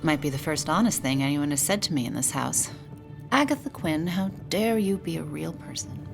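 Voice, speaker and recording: A woman's voice: low-pitched, expressive, normal speed, vocal fry, music in the background. A woman in an audio drama playing a jaded, wry young aristocrat with a languid, theatrical, sardonic manner. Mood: wry, teasing.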